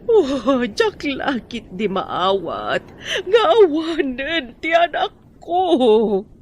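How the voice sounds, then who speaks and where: A woman's voice: high voice, wildly swooping pitch, extremely slow, full character voice. An actress playing an anguished, demanding mother in an Ilocano radio drama.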